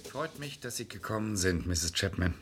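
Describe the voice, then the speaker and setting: An actor playing a probing, persuasive defence lawyer in a 1970s German radio crime drama, husky-voiced, with a measured and polite delivery. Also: male voice, on the low side, lively intonation, fairly bright tone, a little hiss or hum. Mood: polite, careful.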